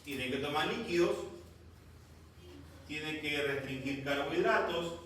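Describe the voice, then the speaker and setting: A man's voice: fairly high pitch, takes it slowly, a bit bright, slight background noise. A man giving a medical lecture to students, stern and scolding at times. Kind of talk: classroom lecture. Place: classroom. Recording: echoey classroom from far off.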